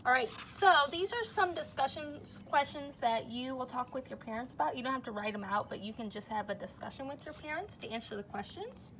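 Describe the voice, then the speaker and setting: A woman with a fairly high voice reads a picture book to children in a teacherly way. She explains simply and puts questions to her young listeners. There is clear noise behind her. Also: lively intonation, fairly quick, few pauses, fairly bright tone, rough recording. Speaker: teacher reading aloud to children. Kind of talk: children's storybook read-aloud with asides and questions.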